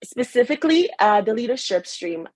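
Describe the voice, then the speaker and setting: A woman's voice: a little high, sing-song, moderate pace, a little mellow, no room sound. A middle-aged woman from Saint Lucia, a nurse and hospital administrator, giving a friendly, conversational talk on a video call.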